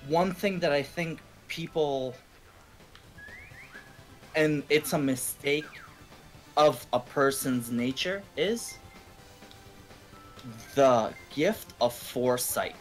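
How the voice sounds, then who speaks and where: A man's voice: on the high side, slow, pauses often, low-quality recording. A man musing in a rambling, slangy way during a casual stream chat. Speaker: stream guest. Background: game music.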